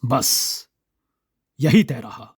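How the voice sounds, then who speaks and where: A man's voice: mid-range voice, lively intonation, drawn-out words, breathy edge, dry recording, studio quality, heavily acted. A man voicing a gullible, self-satisfied Mughal emperor in an audiobook story, with a regal, polite manner. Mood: pleased, relieved.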